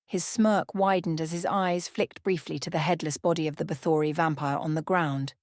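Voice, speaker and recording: Female bedtime-story narrator, ASMR style, slightly low voice, very dry sound. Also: fairly even intonation, moderate pace, no room sound.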